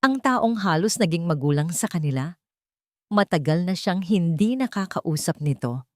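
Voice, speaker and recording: A Filipino woman tells a scripted romantic drama as its narrator. Her voice sits on the low side and moves widely in pitch. The sound is dry, with little room on it. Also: expressive, normal speed, no echo.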